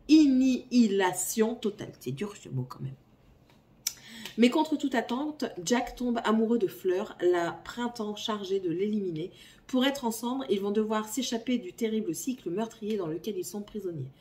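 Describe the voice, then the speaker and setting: A woman talks about her reading list at home while fighting off a cold, her voice hoarse and a little rough. She sits a short way from the microphone, so she sounds slightly distant. Her delivery is chatty and apologetic. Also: medium pitch, mellow. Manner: chatty, apologetic, coughing now and then. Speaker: book YouTuber. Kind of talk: casual reading-list chat, reading blurbs aloud.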